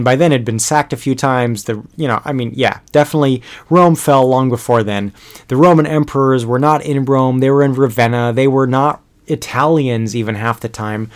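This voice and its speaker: A man narrating a history lesson in a casual, conversational way, with frequent fillers and restarts. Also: mid-range voice. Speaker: history educator.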